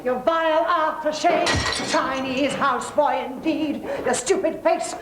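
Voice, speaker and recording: A woman's voice: sits high, unhurried, heavy background noise. An actress playing Penelope, a haughty and snobbish mother who trades scornful insults in a comedy scene. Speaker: Penelope, feuding parent. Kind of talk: scripted TV comedy acting.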